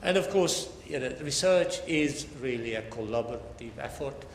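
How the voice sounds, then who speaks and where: An older man from Hungary with a Hungarian accent gives a formal academic lecture as a professor, in measured phrases that often pause mid-sentence. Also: mid-range voice, animated. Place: large lecture hall, talking to an audience. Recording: echoey lecture hall with background noise.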